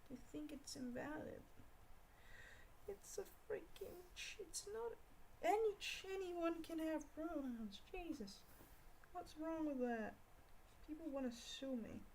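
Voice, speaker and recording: A young woman with a Scottish accent chatting casually on an art livestream, rambling and scolding herself out loud in exasperation. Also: high voice, animated, a little slow, creaky, slight background noise, rough recording. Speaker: art streamer. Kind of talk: casual livestream chat while drawing.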